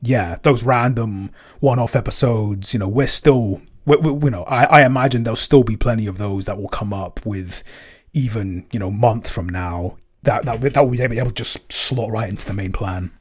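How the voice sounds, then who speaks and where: A man with a lowish, husky voice talks casually as a podcast co-creator answering questions. He thinks aloud, feeling his way through each sentence and often rewording it as he goes. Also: normal speed. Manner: hesitant, thinking aloud, rephrasing mid-sentence. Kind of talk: casual unscripted behind-the-scenes chat.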